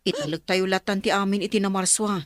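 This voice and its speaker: An actress playing a loyal, respectful aide in a drama, speaking in a reassuring, deferential way. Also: female voice, medium pitch, fairly even intonation, quick pace, no echo, lightly acted. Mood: consoling. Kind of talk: drama dialogue.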